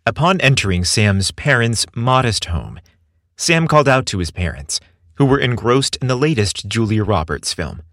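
A man's voice: medium pitch, big pitch swings, normal speed, fairly bright tone, dry recording, studio quality. A man reading a scripted story as its narrator.

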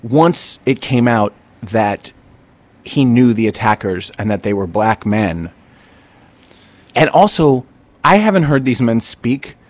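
A man hosting a podcast, talking in an animated, rambling way and often restarting his sentences as he thinks aloud. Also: mid-range voice, takes it slowly, dry recording. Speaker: podcast host. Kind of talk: casual conversational chat.

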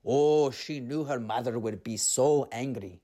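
A woman reading a story aloud as an expressive narrator. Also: a bass voice, a little slow.

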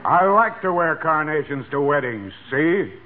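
A pompous, streetwise bricklayer. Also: male voice, fairly high pitch, sing-song, measured pace. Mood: haughty. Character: pompous tough bricklayer, wordy and sarcastic. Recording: tinny old radio broadcast with hiss.

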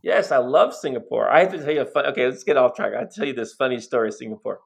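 A man's voice: medium pitch, lively intonation, quick pace, gravelly, a little hiss or hum. A man in his sixties from the United States, hosting a casual interview and telling long rambling stories with frequent restarts. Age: 60s. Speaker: host.

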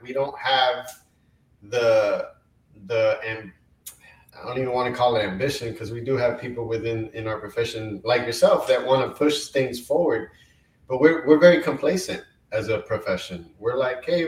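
A man from Colombia, co-hosting a casual podcast, talking in a relaxed, rambling way. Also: mid-range voice, moderate pace, recorded from a distance. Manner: easygoing, rambling. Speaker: podcast co-host. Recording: roomy and off-mic.

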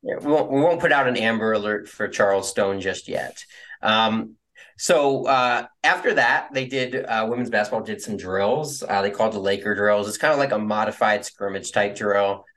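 A man's voice: lowish voice, normal speed, fairly bright tone. A young man co-hosting a podcast, recapping events in a rambling, filler-heavy casual way. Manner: rambling, many fillers and hedges. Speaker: podcast co-host.

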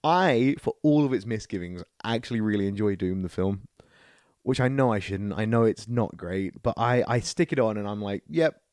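A man co-hosting a casual podcast, chatting in an excitable, playful and rambling way about films and games. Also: lowish voice, moderate pace, no echo, studio quality. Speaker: podcast co-host.

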